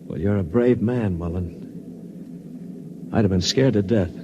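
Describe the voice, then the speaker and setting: An actor playing a frank, world-weary space traveler, earnest and plainspoken, in a 1950s radio drama. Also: male voice, low-pitched, slow pace, noisy background. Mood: tense, admiring. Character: frank, cynical male space traveler softening into respect. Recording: dull 1950s radio recording with loud music.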